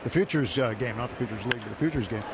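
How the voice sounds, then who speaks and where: A man calling baseball play-by-play on the radio, brisk and lifting on big plays. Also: medium pitch. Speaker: play-by-play announcer. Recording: muffled radio broadcast with noise.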